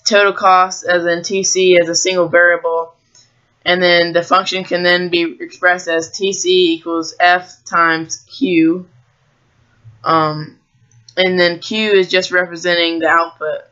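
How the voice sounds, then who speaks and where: An American teenage high school student giving an explanatory school presentation. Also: sharp tone, smooth, highs cut off.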